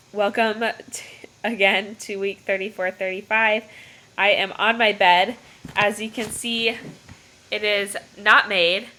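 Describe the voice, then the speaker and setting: A young woman with a cold talks from her bedroom into a laptop's built-in mic, her voice nasal and stuffed up. Her tone is bright and a little sharp, and she rambles cheerfully, laughing between thoughts. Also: mid-range voice. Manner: chatty, rambling, laughs often. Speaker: vlogger. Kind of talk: casual pregnancy update vlog. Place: bedroom, indoors. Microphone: built-in computer mic. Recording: lo-fi laptop recording.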